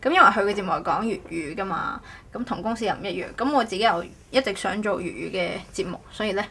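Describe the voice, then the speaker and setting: Woman, husky, mid pitch, casual podcast chat, rambling, self-correcting. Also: fast, bright, forceful tone. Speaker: podcast host.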